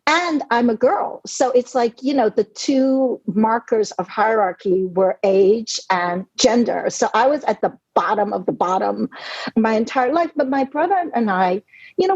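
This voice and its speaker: Husky-voiced woman telling her story. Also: on the high side, a little mellow, no echo. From born in Hong Kong, raised in New York City. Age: 40s. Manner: earnest, reflective storytelling with frequent "you know". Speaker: novelist guest. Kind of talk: conversational podcast interview. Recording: dry, dull lo-fi audio.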